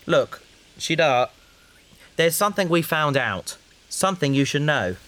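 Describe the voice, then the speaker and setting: An actress playing an earnest researcher on a risky space mission, pleading and challenging in an audio drama. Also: female voice, deep voice, expressive, unhurried, a bit bright. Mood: urgent, pleading. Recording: faint hiss behind the voice.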